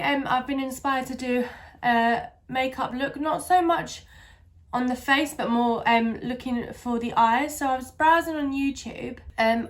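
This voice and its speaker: A woman with a stuffy, nasal voice from a cold, chatting casually through a makeup tutorial with lots of fillers. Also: sits high. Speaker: beauty vlogger.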